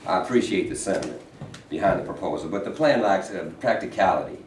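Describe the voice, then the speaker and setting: A man plays a stern, authoritative state governor in a stage drama. His voice is on the low side and somewhat halting. It sounds distant and echoey, with faint noise. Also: expressive. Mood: reflective. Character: stern, touchy bigoted Southern state governor. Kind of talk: staged play reading. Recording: echoey, noisy stage recording from far off.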